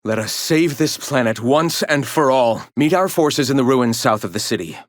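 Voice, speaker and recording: An actor playing a zealous philosopher who leads an anti-corporate rebel sect in a video game, speaking in lofty, measured, formal oratory. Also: male voice, medium pitch, lively intonation, no echo, studio quality, lightly acted. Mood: resolute, rallying. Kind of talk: video game character dialogue.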